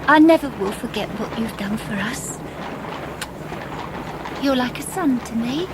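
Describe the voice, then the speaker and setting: An effusive, motherly Englishwoman in a period radio play. Her voice is high and drawn out, set against clear noise of horses and a carriage. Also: lively intonation, measured pace. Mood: tearful, fond. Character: tearful, effusive Victorian wife and mother, motherly. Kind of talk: radio drama acting of a Victorian classic. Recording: noisy horse and carriage effects behind.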